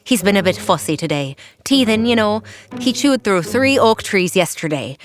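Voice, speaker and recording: A woman playing a giant's clever wife in a storybook drama, doting sweetly and teasing in a deadpan way. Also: mid-range voice, moderate pace, natural voice.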